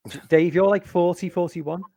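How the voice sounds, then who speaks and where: A man's voice: high voice, fairly even intonation, moderate pace, creaky, warm tone, no echo, studio quality. A man in his forties with a Liverpool accent hosting a casual stream, rambling and restarting his words. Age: 40s. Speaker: stream host. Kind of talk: casual chat.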